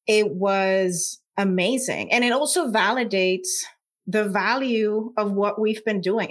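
A woman's voice: medium pitch, fairly bright tone. A woman from Puerto Rico with a Puerto Rican accent, a scientist speaking earnestly in an interview, with frequent fillers. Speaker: scientist guest. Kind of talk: conversational interview.

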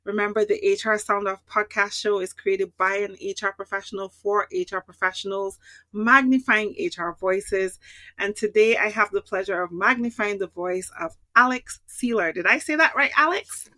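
A woman's voice: medium pitch, normal speed, pushed and bright, dry recording. A woman hosting a podcast, welcoming a guest and asking questions in an upbeat, affirming way. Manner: upbeat, affirming with frequent backchannels. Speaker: podcast host. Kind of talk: scripted show intro and interview.

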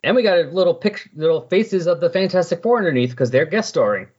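Podcast host guy chatting casually, bit husky, kinda muffled lo-fi sound. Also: fairly high pitch, lively intonation, fast talker, a little mellow. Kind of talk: casual unscripted discussion.

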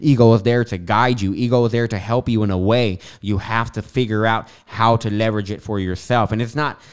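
A man's voice: on the low side, steady tone, fairly quick, clean tone. A young man with a lisp, hosting a podcast and talking casually in a rambling monologue full of fillers and tangents. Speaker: podcast host. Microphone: studio microphone.

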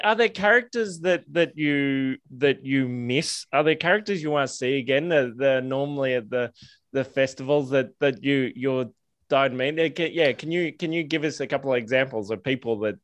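A man with an Australian accent hosting an interview, asking questions in a halting way with many repeated words. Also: medium pitch, dry recording. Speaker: podcast host.

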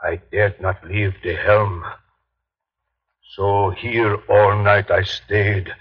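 A weary old-radio sea captain, real low and slow, solemn, muffled broadcast sound. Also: male voice, slightly rough, full character voice. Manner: solemn, halting, dramatic. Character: weary, haunted, devout ship's captain keeping his log. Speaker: actor. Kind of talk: 1930s radio horror acting, reading a log.

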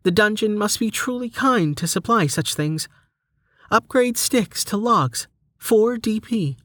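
A narrator voicing a magical game menu that announces items and prices in a formal, measured, obliging way for an audiobook. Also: medium pitch, lively intonation, fairly bright tone, dry recording, voice pushed up.

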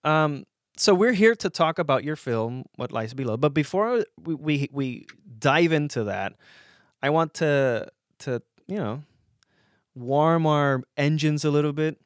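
A man interviewing a filmmaker, asking questions in a relaxed, friendly way. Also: a little high, measured pace, dry recording, studio quality. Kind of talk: casual interview.

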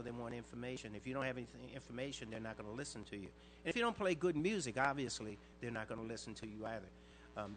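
An older man with a fairly high, light voice answers questions about his years on air. He talks in quick bursts, leans on his inflections and restarts phrases often. A faint hum sits under the recording. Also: a bit bright. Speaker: radio disc jockey guest. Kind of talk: interview answers, reminiscing.

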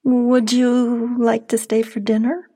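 An elderly widow played by an actress in a radio drama, wistful and lonely. She speaks slowly, with a quiet yearning for someone to keep her company. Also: on the high side, level tone, breathy edge, heavily acted. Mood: tender, welcoming. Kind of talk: radio play acting.

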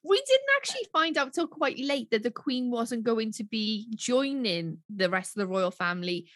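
A woman's voice: on the high side, big pitch swings, normal speed, a bit bright, no echo, studio quality. A Welsh woman co-hosting a podcast, chatting enthusiastically and gushing about the topic, often ending with tag questions. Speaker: podcast co-host. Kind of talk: casual chat.

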